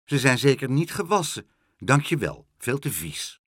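A man voicing a fussy, indignant woman in a children's storybook, snappish and shrill as she nags and complains. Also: very animated, moderate pace, fairly bright tone, no echo, studio quality. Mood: disdainful. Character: fussy, indignant, scolding woman, easily outraged.

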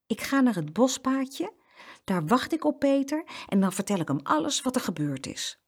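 Casting: woman as a caring little sister for a storybook, coaxing and earnest, high pitch, lively swings. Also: moderate pace, breathy edge, heavily acted. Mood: hopeful, scheming. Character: caring, anxious young twin sister, eager peacemaker. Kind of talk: children's storybook character reading.

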